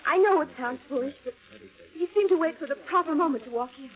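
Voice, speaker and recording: An actress playing a frightened wife haunted by death, speaking anxiously and emphatically in a melodramatic 1940s radio drama. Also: female voice, sits high, animated, fast talker. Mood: fearful, insistent. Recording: dull, lo-fi old radio recording.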